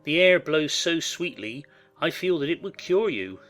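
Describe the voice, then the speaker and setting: A man playing a devoted, proud gentleman husband in a dramatized audiobook. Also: fairly high pitch, big pitch swings, unhurried, soft background music. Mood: tender, wistful.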